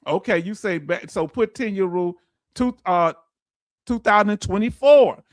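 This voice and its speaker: A man in his late fifties whose voice sits high for a man, heard dry and close with no room sound. He teaches an online audience in relaxed, folksy talk. He keeps asking viewers the same questions until they answer. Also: normal speed, dry recording. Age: 50s. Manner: quizzes viewers with repeated questions. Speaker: veteran benefits streamer. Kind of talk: livestream lesson answering chat.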